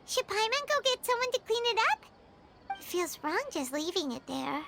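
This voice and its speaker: A woman voicing a tiny, excitable, chatty fairy companion in a video game, with a squeaky childlike voice. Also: normal speed, vocal fry, over loud music, full character voice. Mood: uneasy. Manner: excitable, exclaiming, refers to self by name.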